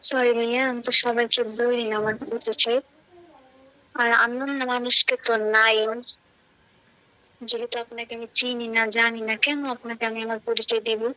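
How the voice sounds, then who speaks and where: A woman's voice: a little high, level tone, unhurried, bright, forceful tone. A woman with a Bangladeshi accent in a casual phone conversation, guarded and full of questions. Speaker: call recipient. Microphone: phone call. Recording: dull lo-fi phone call audio.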